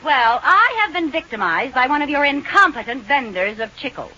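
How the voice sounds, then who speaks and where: An actress playing a feisty, indignant housewife with exasperated, emphatic delivery in old radio sitcom style. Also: female voice, sits high, sing-song, moderate pace. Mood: indignant, insistent. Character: feisty, indignant housewife standing up for her rights. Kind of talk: 1950s radio sitcom acting. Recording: noisy, muffled old radio recording.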